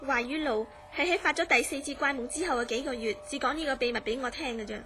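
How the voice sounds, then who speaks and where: A high-pitched, inquisitive woman in a horror radio play. She speaks expressively, with a puzzled, wondering edge, over background music. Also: fast talker, a bit bright. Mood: earnest, mysterious. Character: curious, astonished woman recounting a friend's strange dreams. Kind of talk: Cantonese horror radio drama acting.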